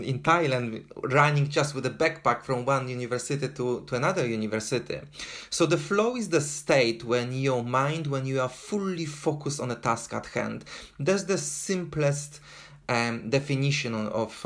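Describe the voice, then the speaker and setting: A man's voice: medium pitch, fairly bright tone. A man from Poland with a Polish accent, speaking as an interview guest in an earnest way, with many fillers and restarts. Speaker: guest, endurance runner. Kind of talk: casual interview talk.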